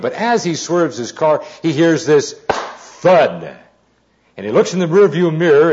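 A man giving an informal sermon with anecdotes, telling them deliberately with pauses and dry humour. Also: fairly high pitch, a little mellow. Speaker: preacher, Bible teacher. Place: speaking live to an audience. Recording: dull, muffled lo-fi recording.

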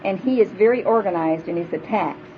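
A woman's voice: mid-range voice, a little fast, slight background noise. Woman in her fifties for a plainspoken sermon to a women's group, big voice, dull live recording. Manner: earnest, prayerful, plainspoken. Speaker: speaker at a women's church retreat. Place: hall before a live audience.

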